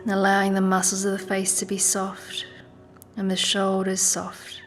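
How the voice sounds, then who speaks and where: A woman leading a guided meditation in a soft, gentle, soothing manner with unhurried pauses. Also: medium pitch, monotone. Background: music.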